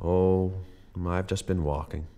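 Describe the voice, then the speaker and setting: An actor playing an earnest, excitable young small-town American man, stammering and folksy, in a radio play. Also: low voice, slow pace. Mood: rueful. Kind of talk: old-fashioned radio play acting.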